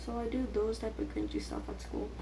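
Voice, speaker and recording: A woman's voice: a little high, sing-song, a little fast, gravelly. A teenage girl chatting casually and rambling, with a self-deprecating, youthful way of talking full of filler words. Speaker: vlogger. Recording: echoey room with faint background noise.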